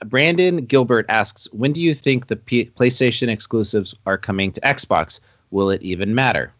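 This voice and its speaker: A man hosting a casual gaming podcast who reads listener questions aloud, halting and restarting as he goes. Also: medium pitch, a bit bright, no echo. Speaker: podcast host. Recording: dull, dry recording.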